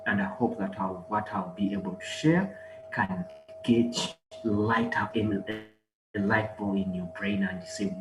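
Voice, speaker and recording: A man from Uganda, giving a motivational conference talk over a video call, energetic and urging the audience on. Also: fairly low pitch, measured pace. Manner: energetic, exhorts the audience with repeated calls. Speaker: guest speaker, online marketer and trader. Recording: echoey room with music behind.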